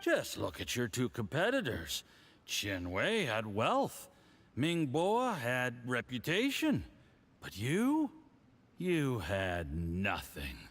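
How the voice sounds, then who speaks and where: An actor playing a smug, condescending, tipsy diplomat in a video game, speaking in a theatrical, patronizing way. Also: male voice, mid-range voice, wildly swooping pitch, a bit bright, heavily acted. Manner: theatrical, patronizing, drawn-out delivery.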